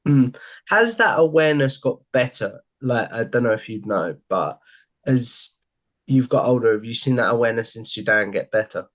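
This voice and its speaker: Friendly young podcast host on a headset. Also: male voice, medium pitch, expressive, moderate pace, fairly bright tone. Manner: friendly, rambling questions with repeated stock phrases. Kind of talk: casual interview questions. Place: home room. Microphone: headset on a video call.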